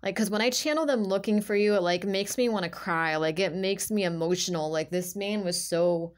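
A woman speaking as a tarot reader in an informal, intuitive monologue, halting and emphatic, circling back to repeat herself and often saying "like". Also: mid-range voice. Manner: halting, repetitive, emphatic, filler-heavy with "like".

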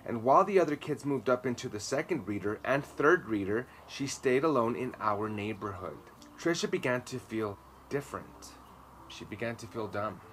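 Guy in his 30s reading a kids book outside, dramatic emphasis, mid-pitched voice, some background noise. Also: expressive, moderate pace, a bit bright, poor audio. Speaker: storyteller reading children's books. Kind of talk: expressive read-aloud of a children's story. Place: outdoor garden patio, seated. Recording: clear ambient noise behind voice.

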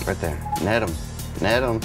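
A man's voice: lowish voice, normal speed, music in the background. A man bantering casually with an opponent while playing a video game, in a teasing way. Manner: teasing, chuckling often.

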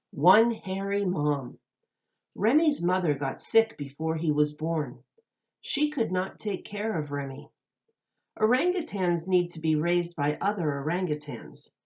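A woman with a slightly low voice reads a children's book aloud like a teacher. She pronounces each phrase carefully and deliberately for young listeners. The recording is a little dull and lo-fi. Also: darkish tone.

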